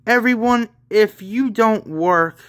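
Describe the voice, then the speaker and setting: Man playing a menacing possessed girl, slow and gloating. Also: high voice, a bit bright, clear, resonant tone, no room sound, clean studio sound, pitched above the performer's own voice. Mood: gloating, threatening. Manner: gloating, threatening. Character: menacing possessed girl who seizes command.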